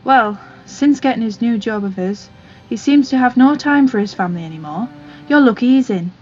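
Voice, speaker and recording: A woman's voice: on the high side, animated, moderate pace, darkish tone, lo-fi sound, faint music behind, natural voice. A woman playing a bitter, sceptical middle-aged village mother in an audio drama, curt and sarcastic.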